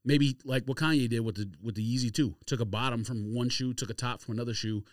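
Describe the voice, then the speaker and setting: A man's voice: mid-range voice, steady tone, quick pace, dry recording, studio quality. A man hosting a podcast, chatting casually about sports and sneakers in an emphatic, opinionated, slangy way. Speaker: podcast host.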